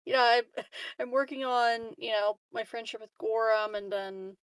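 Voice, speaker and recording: A woman's voice: fairly high pitch, steady tone, measured pace, clean tone. A woman playing a tabletop roleplaying game, chatting casually in a playful, giggly manner. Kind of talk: casual chat.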